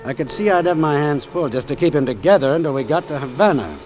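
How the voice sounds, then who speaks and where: An actor playing a brisk, authoritative ship's doctor in an old-time radio drama, matter-of-fact and clipped. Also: male voice, a little high, expressive, darkish tone, soft background music, lightly acted. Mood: measured, wry. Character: brisk, authoritative, dry-witted male ship's doctor, narrating.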